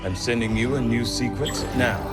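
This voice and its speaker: A male actor voices an erudite research scientist from a video game. His mid-pitched delivery is formal, measured and self-assured. Music plays clearly behind him. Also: lightly acted. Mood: composed, assured. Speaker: scientist, autopsy narrator. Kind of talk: scripted video game cutscene acting.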